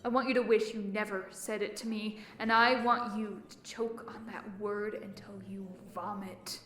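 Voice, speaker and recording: A woman's voice: mid-range voice, fairly even intonation, moderate pace, a bit bright, reverberant, close to an everyday voice. A woman playing a wounded, defiant character in an intense dramatic monologue, brooding and emphatic. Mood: bitter, defiant. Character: wounded, defiant woman nursing an old insult. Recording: music bed behind voice.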